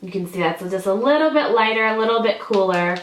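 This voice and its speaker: A woman's voice: medium pitch, expressive, a little fast. A woman in her thirties, slightly nasal as she gets over a cold, chatting enthusiastically to camera as a beauty YouTuber reviewing products at home. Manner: chatty, enthusiastic, stresses words by repeating them. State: recovering from illness. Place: home room with drawer units, indoors. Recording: echoey room.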